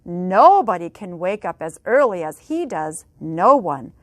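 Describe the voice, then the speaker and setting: A woman's voice: on the low side, big pitch swings, a little slow, no room sound, studio quality. A woman voicing a worried male town mayor from a picture book, speaking in an emphatic, pleading way.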